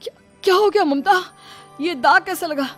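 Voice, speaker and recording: A woman's voice: very high-pitched, normal speed, faint music behind. Woman voicing a stern young cartoon husband.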